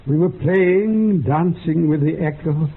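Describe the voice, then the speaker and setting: Brief: evasive mystical experimenter, lightish husky voice, lyrical radio-play style, phone-thin audio. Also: male voice, a little high, takes it slowly, full character voice. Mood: defensive. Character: defensive, dreamy mystical experimenter named Bernard. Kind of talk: radio drama acting. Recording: tinny, phone-like radio recording.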